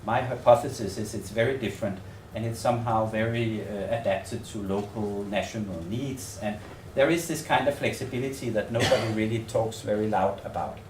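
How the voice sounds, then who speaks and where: A man from Denmark with a Danish accent presents at a seminar as a researcher, speaking English as a second language in a halting, tentative way, thinking aloud and repeating words. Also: fairly low pitch, darkish tone. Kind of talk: semi-improvised conference presentation. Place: seminar room in a hotel. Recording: echoey room, distant mic, faint noise.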